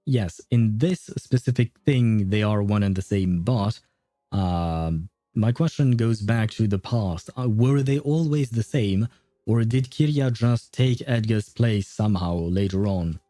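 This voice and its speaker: A man's voice: on the low side, measured pace, mellow. A man livestreaming a game, casually thinking aloud and theorizing in an informal, mildly sweary way. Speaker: livestreamer playing a story game. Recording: roomy echo, faint music behind. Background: faint game music.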